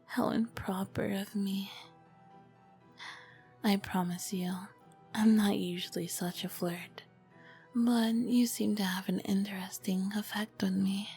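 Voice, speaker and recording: A woman playing an ancient, charming, flirty vampire queen in a whispered ASMR roleplay, with elegant, formal diction and a soft breathy voice. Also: mid-range voice, fairly even intonation, slow pace, long pauses, soft background music. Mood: flirty, teasing.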